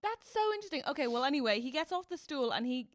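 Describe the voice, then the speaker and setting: Irish woman co-host, chatty and jokey, fairly high voice, dry close studio sound. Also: racing, no room sound. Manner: animated, jokey, laughs often. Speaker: podcast co-host. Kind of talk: casual chat.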